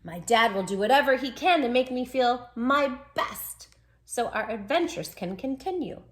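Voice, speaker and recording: A woman's voice: a little high, expressive, normal speed, fairly bright tone. A woman voicing a sweet, plucky little male dachshund who tells his own storybook tale with earnest, dramatic emphasis. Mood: earnest, wondering. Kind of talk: children's storybook read-aloud.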